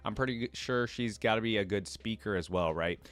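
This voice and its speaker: A man's voice: on the low side, fairly quick, a bit bright. A man hosting a reaction video, talking casually with many fillers and open enthusiasm.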